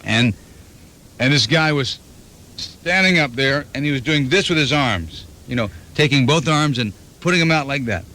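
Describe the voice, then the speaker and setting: A man's voice: mid-range voice, animated, normal speed, pushed and bright. Playful male morning radio host. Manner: storytelling, playful, slips into voices. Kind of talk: fast joking radio banter. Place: radio studio. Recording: 1991 FM radio aircheck.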